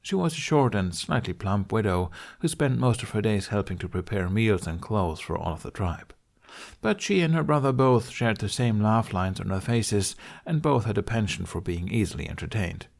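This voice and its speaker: A man's voice: lowish voice, expressive, normal speed, vocal fry. A man reading scripted story narration as the narrator of an audiobook.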